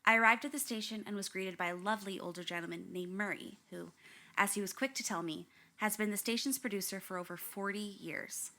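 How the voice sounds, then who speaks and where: An actress playing an earnest young documentary narrator, confiding and grave with a wry edge, in scripted first-person storytelling. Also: female voice, mid-range voice, a little fast, pushed and bright.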